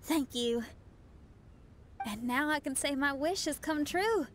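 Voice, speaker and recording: A woman's voice: sits high, animated, slow, a little hiss or hum. A woman playing an upbeat, confident young rock musician in a video game, sincere and casual. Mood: content. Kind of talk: video game character acting. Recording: faint music behind.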